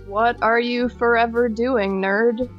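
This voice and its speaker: A woman's voice: on the high side, fairly even intonation, slow, highs cut off, soft background music. A woman voicing a snarky, easily disgusted young woman, sarcastic and grudging, in a comedic fan fiction roleplay.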